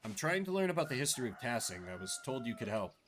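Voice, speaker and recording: A man's voice: mid-range voice, sing-song, fast, a bit bright. Man reading as earnest young village woman. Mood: earnest, weary. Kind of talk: voiced game dialogue. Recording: clearly noisy background.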